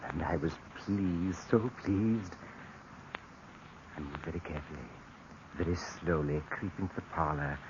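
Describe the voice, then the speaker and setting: A man narrating in the first person for an old radio drama, tense and breathy in places. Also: low voice. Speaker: narrator and lead. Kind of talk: 1940s radio acting. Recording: dull old radio recording with hiss.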